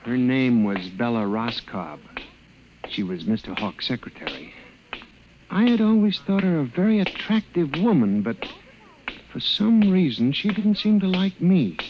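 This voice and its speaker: An actor playing a timid, hesitant middle-aged male clerk who narrates and acts in an old-time radio drama, with an apologetic, quietly resentful manner. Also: mid-range voice, big pitch swings, unhurried, highs cut off, background noise. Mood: wistful, bitter. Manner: hesitant, meek, deferential. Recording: old radio broadcast with music bed.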